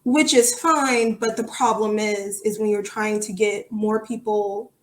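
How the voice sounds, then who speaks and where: A woman's voice: medium pitch, fairly even intonation, measured pace. A woman in her thirties giving candid, emphatic, opinionated answers in a conversational interview. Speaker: podcast guest, soccer supporter. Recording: echoey room.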